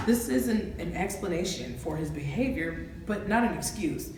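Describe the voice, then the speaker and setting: A woman's voice: on the low side, animated, normal speed, natural voice. A woman acting an earnest, principled college counselor in an amateur courtroom drama. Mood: measured, grave. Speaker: actor in an amateur student film. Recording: echoey, distant, noisy room.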